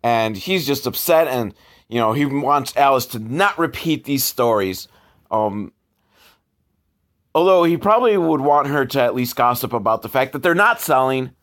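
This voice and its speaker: A man's voice: mid-range voice, lively intonation, moderate pace, a bit bright. A man hosting a casual comedic commentary on old films, with a sarcastic, profane way of talking. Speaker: host reviewing old educational films.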